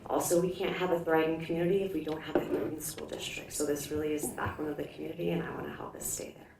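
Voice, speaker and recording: A woman's voice: deep voice, steady tone, fast, vocal fry. A woman from Hawaii giving a campaign speech at a forum as a candidate, in a conversational manner. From Hawaii, United States. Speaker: school board candidate. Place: indoor public forum room. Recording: echoey room from a distance, faint noise.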